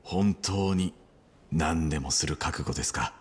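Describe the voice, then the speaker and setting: A man playing a calculating middle-aged surgeon in a video game drama, speaking in a measured, formal, subdued way. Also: very deep voice.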